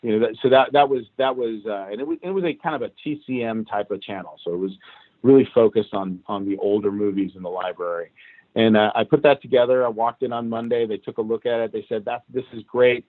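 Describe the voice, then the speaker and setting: A man's voice: on the low side, fast, no room sound. Man telling his story over a tinny line. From Cuban. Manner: animated, recounts events at length. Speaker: interview guest. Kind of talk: casual career storytelling. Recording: tinny phone-like line, dry.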